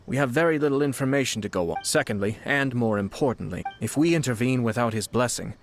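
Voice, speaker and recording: An actor playing a composed young nobleman in a video game, speaking in polite, measured, formal phrases. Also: mid-range voice, a little hiss or hum, lightly acted. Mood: calm, deliberating. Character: composed, courteous young nobleman and clan commissioner.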